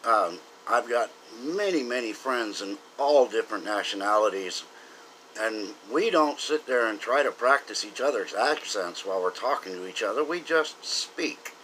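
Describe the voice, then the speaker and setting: Bright-voiced American man, opinionated monologue. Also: fairly low pitch, animated, moderate pace, a little hiss or hum, rough recording. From southern United States. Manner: earnest, opinionated, emphatic. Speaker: political commentator. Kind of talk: unscripted political monologue to camera.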